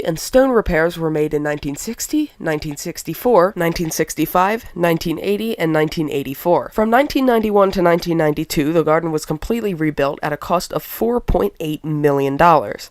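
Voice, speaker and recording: A man's voice: a little high, dry recording, clean studio sound. A man with a cold narrates a history video in a nasal, congested voice. He is earnest and now and then corrects himself. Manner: earnest, occasional self-corrections. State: stuffed up from a cold. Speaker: video creator.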